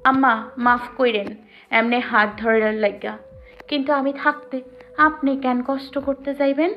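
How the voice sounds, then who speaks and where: Woman acting feisty young rural Bangladeshi wife, audio drama, high voice, assertive, muffled lo-fi recording. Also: steady tone, measured pace. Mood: polite, firm. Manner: assertive, dialect-speaking. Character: bold, smiling young village wife defending her marriage. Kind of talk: Bengali audio story acting. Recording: dull lo-fi, music behind.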